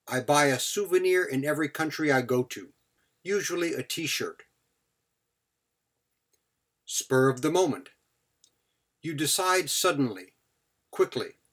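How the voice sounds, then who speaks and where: Slow-paced man teaching vocabulary in short phrases. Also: fairly high pitch, a bit bright. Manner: short, clipped phrases with example sentences. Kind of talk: scripted vocabulary lesson.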